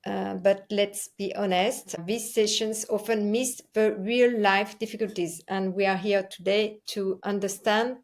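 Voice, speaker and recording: French-accented woman hosting, halting delivery. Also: medium pitch, fairly even intonation. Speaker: host. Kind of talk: presenting a live online talk show.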